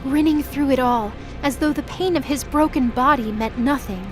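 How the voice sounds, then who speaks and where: A woman's voice: high-pitched, moderate pace, music in the background. A woman reading scripted, dramatic story narration for an audio drama.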